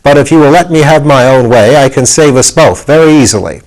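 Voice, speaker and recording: A man's voice: mid-range voice, fairly quick, a little mellow, pitched above the performer's own voice, lightly acted, low-quality recording. A man voicing a scheming, confident old storybook witch with a sly, assertive manner.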